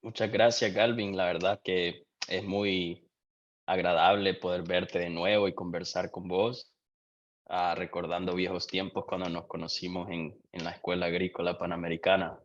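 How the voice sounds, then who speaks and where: Young Honduran man, very low voice, lo-fi muffled sound, thoughtful interview guest. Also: little pitch movement. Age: young adult. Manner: reflective, unhurried, with frequent restarts. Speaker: guest, doctoral student. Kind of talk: casual interview answers.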